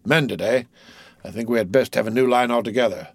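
A man voicing a gruff, wry old grey-haired sailor in an audiobook, muttering and grumbling. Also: mid-range voice, fairly quick, dry recording, natural voice.

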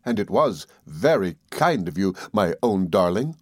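A man voicing an earnest, loving young husband in an audiobook, reasoning gently and formally. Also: deep voice, sing-song, measured pace, no echo, clean studio sound, full character voice. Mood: earnest, conciliatory. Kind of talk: audiobook character dialogue.

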